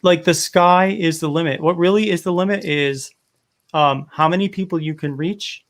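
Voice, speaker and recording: A man from Rhode Island hosting a casual livestream chat, telling stories in a lively, chatty way. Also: fairly high pitch, normal speed. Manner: chatty storyteller, animated when telling a joke.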